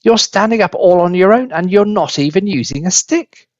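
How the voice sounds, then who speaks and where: A man voicing a cheeky, excitable young grandson in a storybook, eager and full of exclamation. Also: high voice, normal speed, no room sound, highs cut off, voice pushed up. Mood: excited amazement.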